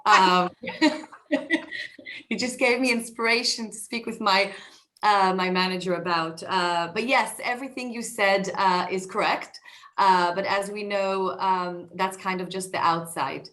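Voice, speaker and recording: A woman in her forties with an Israeli accent, talking about her life on a video call from her home basement, a little hoarse from a cold, reflective, with many fillers and restarts. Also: mid-range voice, unhurried, bright, forceful tone. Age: 40s. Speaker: guest speaker. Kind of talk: personal talk over a video call.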